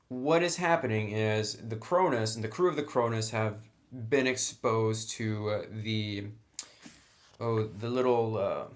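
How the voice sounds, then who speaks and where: A man in a chatty, enthusiastic style, reviewing a tabletop roleplaying game. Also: fairly low pitch. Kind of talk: casual review talk.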